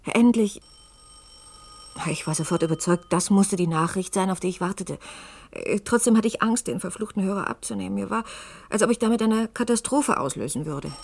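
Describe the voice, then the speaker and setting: A woman's voice: medium pitch, expressive, normal speed, gravelly. An actress in a German radio play, playing an anxious woman in an intimate manner. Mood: subdued, tense recollection. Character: anxious woman recounting her search for a man.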